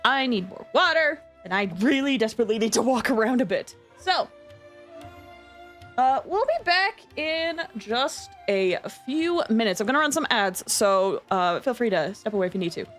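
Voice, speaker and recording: A woman chats casually as she hosts a game stream. Her voice sits a little high and swings freely in pitch. She is giggly and exclamatory, with music faintly behind her. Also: big pitch swings, normal speed, a bit bright. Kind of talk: casual livestream chat.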